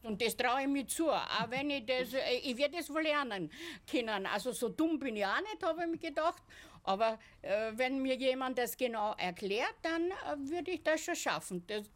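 A woman's voice: high voice, expressive, normal speed. A woman in her late sixties with an Austrian accent, talking eagerly in an interview, with many hesitations. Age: 60s. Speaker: amateur stage performer. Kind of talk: casual interview talk.

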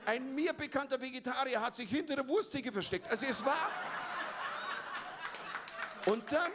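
A man doing an animated stand-up bit that builds to loud punchlines. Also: very high voice, moderate pace, very noisy. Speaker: stand-up comedian. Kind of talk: stand-up comedy set. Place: comedy club stage.

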